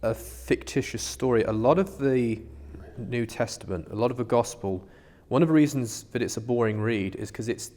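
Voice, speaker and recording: A man's voice: mid-range voice, normal speed, hardly any pauses, darkish tone. A man co-hosting a podcast, musing aloud in a casual discussion about religion, recorded with long reverb. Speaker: podcast co-host.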